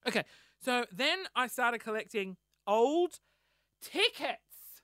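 Australian man, hoarse, bright voice, lively swooping delivery, dry close sound, rambling and sweary. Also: very high-pitched, slow, no echo. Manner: rambling, self-mocking, sweary and animated. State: hoarse, losing his voice. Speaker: podcast host. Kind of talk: casual solo storytelling.

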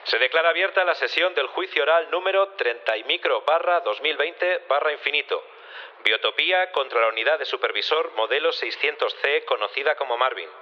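A man's voice: on the high side, lively intonation, a little fast, natural voice. Man playing a formal judge, muffled sound. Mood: formal, stern. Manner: formal, official, reading out rulings. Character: formal male judge presiding over a trial. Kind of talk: Spanish audio fiction acting.

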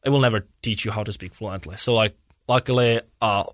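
A man's voice: fairly low pitch, fairly even intonation, fairly quick, no echo, studio quality. A young man from Czechia speaking English as an in a casual interview, searching for words and rambling earnestly. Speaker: guest, hockey player.

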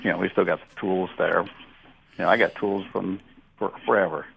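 A man's voice: sits low, fairly even intonation, moderate pace, vocal fry, dull audio, a little hiss or hum. A man who owns a contracting company rambles through a job story on the radio, with lots of "you know". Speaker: contractor, company owner and show regular.

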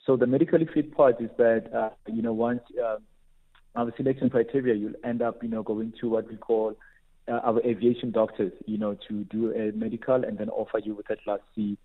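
A man's voice: fairly low pitch, steady tone, fairly quick, phone-quality sound. A South African man giving explanatory interview answers as an air traffic controller, often saying "you know". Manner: frequent "you know" as a verbal habit.

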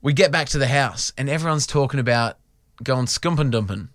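A man's voice: medium pitch, a little fast, no echo, clean studio sound. A young Australian man co-hosting a radio show, telling embarrassing stories with self-mocking humor. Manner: self-mocking storyteller, animated. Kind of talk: casual radio banter. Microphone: studio microphone.

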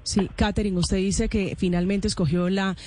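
A man's voice: high-pitched, fairly even intonation, normal speed, darkish tone. A man interviewing a guest, who puts pointed questions to her.

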